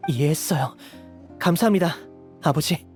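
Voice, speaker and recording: A man's voice: on the high side, moderate pace, natural voice. An actor playing a loyal young man, earnest and respectful, in a dramatic game scene. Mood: earnest, resolute. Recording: music under the voice.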